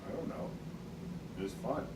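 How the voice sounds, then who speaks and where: A man's voice: normal speed, natural voice. A man playing a frustrated, reluctant young schoolboy in an amateur puppet show, speaking in short, simple, blunt sentences. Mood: subdued. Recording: echoey, far from mic, very noisy.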